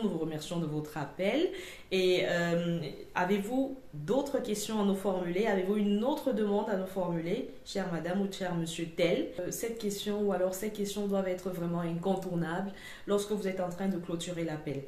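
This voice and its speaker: Woman giving an informal explainer, mid pitch, kinda hesitant n teachy, roomy echo. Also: darkish tone. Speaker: presenter of a customer service channel. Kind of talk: informal instructional talk to camera. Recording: echoey, roomy sound.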